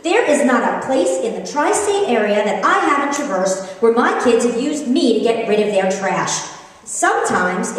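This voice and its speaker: A middle-aged American woman, an author giving a humorous, conversational live book reading with animated, expressive delivery, recorded from a distance in an echoey room. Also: mid-range voice. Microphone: distant microphone.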